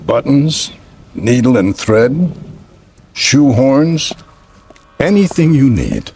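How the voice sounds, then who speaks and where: A man acting a gentle, cryptic old street peddler in a radio thriller, speaking in a measured, deliberate way. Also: medium pitch, big pitch swings, slightly rough, exaggerated voice. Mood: mild, polite. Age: older.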